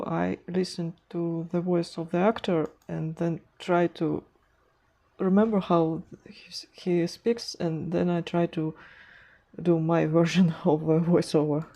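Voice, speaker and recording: A woman's voice: fairly low pitch, warm tone. A young woman with a Russian accent talking casually to camera from a room at home, hesitant, with many fillers and restarts, laughing at herself. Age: young adult. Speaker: artist and animation vlogger. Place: home room with a gaming chair, indoors.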